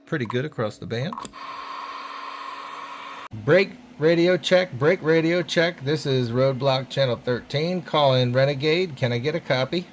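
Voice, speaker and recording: A man's voice: medium pitch, a little slow, a little hiss or hum. A man narrating a hands-on CB radio demonstration informally as he goes, like a hobbyist vlogger.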